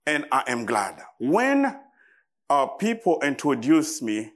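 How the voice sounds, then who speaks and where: Slow-paced Haitian man preaching. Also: medium pitch, big pitch swings. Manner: halting, deliberate, with pauses between short phrases. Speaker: guest preacher. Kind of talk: sermon to a church congregation.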